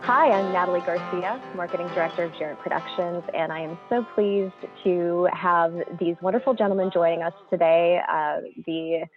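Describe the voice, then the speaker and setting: A woman's voice: lowish voice, no room sound. A woman with a gushing manner hosting a panel introduction over a narrow video call connection. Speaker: host, marketing director of a theater company.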